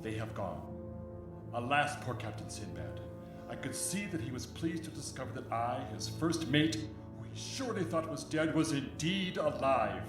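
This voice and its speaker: A man's voice: mid-range voice, lively intonation, moderate pace, close to an everyday voice. A man playing a loyal, earnest ship's first mate in a radio drama, speaking formally. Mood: worried, resolute. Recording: distant, echoey, loud music underneath.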